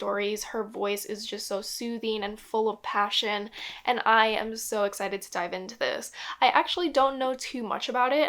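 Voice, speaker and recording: A young woman hosts a casual book haul vlog, speaking with upbeat enthusiasm. She has a slight cold. Her voice sits at an ordinary middle pitch. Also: fairly bright tone. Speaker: book vlogger.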